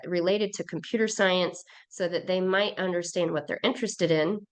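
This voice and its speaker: A hoarse woman, a program director, giving an upbeat webinar presentation over a video call, with many fillers and restarts. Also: lowish voice.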